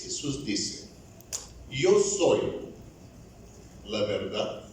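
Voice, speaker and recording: Slow-preaching man in an echoey church. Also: mid-range voice, a little mellow, recorded from a distance, slight background noise. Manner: deliberate, halting delivery in a second language. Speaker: evangelist preacher. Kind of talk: sermon.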